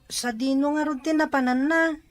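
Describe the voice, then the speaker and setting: A woman's voice: high-pitched, fairly even intonation, moderate pace, soft background music, close to an everyday voice. A woman playing a small, worried younger sibling in a radio drama.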